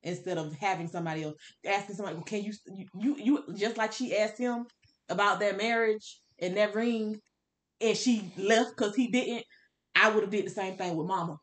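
A woman's voice: mid-range voice, moderate pace, a bit bright. A woman co-hosting a podcast, casually discussing books in a candid, opinionated way with blunt, colloquial phrasing. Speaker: podcast co-host.

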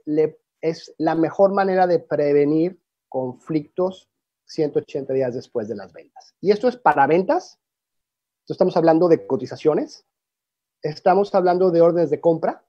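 A man's voice: on the high side, mellow, dry recording. Portuguese-accented man giving a dry lecture. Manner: explanatory, with frequent restarts and fillers. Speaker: arbitration lecturer. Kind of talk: webinar presentation. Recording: dry, dull lo-fi sound.

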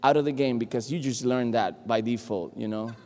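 Animated Puerto Rican-accented man preaching. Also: mid-range voice, brisk. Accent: Puerto Rican Spanish. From Puerto Rico. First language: Spanish. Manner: animated, joking with the congregation. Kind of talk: lively sermon. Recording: long hall reverb.

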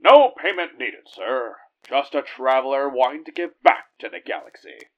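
A man's voice: mid-range voice, sing-song, normal speed, muffled recording, lightly acted. A man playing a bold, gallant space captain and vigilante hero in an audio drama, speaking in decisive, heroic commands. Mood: gentle, noble.

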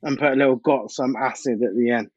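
A man's voice: mid-range voice, steady tone, a little fast, darkish tone, no room sound. Male interview guest, informal slangy chat, close and dry, slightly muffled lo-fi sound. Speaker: DJ and music producer, interview guest.

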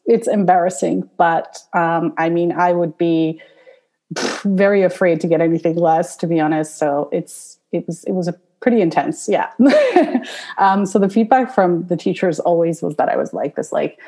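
A woman's voice: fairly low pitch, normal speed, warm tone. A woman with an Iranian accent, a podcast guest talking casually and laughing often between fillers. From Iran. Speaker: guest, tutoring company founder. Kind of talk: casual interview talk.

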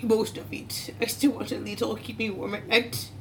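A woman's voice: on the high side, level tone, moderate pace, background noise, lightly acted. A woman putting on a giggly Indian-accented auntie type. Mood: giddy. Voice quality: broken-English phrasing, giggly. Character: chatty Indian-American woman from New Jersey. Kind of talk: improv character interview.

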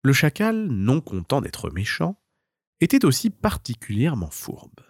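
A man's voice: medium pitch, huge pitch swings, a little slow, slightly rough, dry recording, clean studio sound. A man narrating a scripted children's tale as a storyteller.

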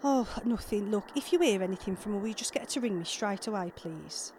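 A woman acting an irritable, sharp-tongued, sarcastic middle-aged mother and ex-wife in an audio drama. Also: mid-range voice, animated, fast, a little hiss or hum, natural voice. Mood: exasperated sarcasm.

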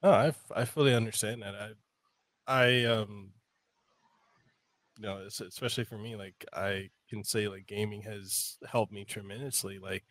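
A man's voice: lowish voice, fairly even intonation, normal speed, fairly bright tone, no echo. Rambling male podcast host from Samoa. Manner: loose and rambling, full of "like" and restarts. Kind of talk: casual interview chat.

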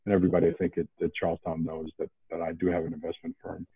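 A man from around Boston, a podcast guest, talking in a casual animated way with many restarts. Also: low-pitched, rapid-fire, husky, no echo. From New England, outside Boston. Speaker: podcast guest, investment banker. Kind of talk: casual interview talk. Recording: tinny phone-like line.

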